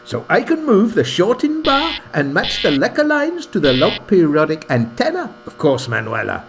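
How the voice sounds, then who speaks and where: An actor playing an earnest, excitable village man and narrator in a scripted comedy radio play, with a theatrical manner. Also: high voice, moderate pace, vocal fry, background noise, heavily acted.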